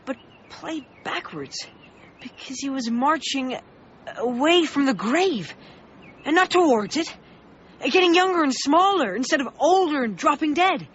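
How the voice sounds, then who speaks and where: A man's voice: very high voice, sing-song, a little slow, fairly bright tone, muffled recording, slight background noise, heavily acted. An actor playing an anxious, loyal boy who narrates his adventure in a radio drama. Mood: earnest, uneasy. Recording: band-limited radio broadcast with music underneath. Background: dramatic music.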